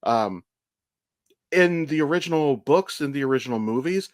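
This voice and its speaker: A man's voice: on the high side, expressive, moderate pace, dry recording, clean studio sound. A man co-hosting a casual podcast, explaining his opinions as a fan with the odd swear word. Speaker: podcast co-host. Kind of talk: casual chat, opinionated pop-culture commentary.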